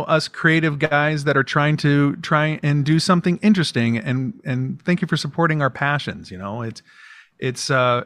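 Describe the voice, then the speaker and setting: A man's voice: a little high, a bit bright, no echo, studio quality. A man guesting on a podcast, chatting casually and rambling with many restarts as he plugs his own show. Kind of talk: casual interview chat.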